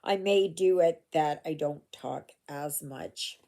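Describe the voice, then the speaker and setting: A woman's voice: sits low, takes it slowly, vocal fry, dark tone. An older woman from Canada chatting casually while she stitches, rambling with asides and fillers, recorded at home on a phone. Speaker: cross-stitch vlogger. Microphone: phone camera.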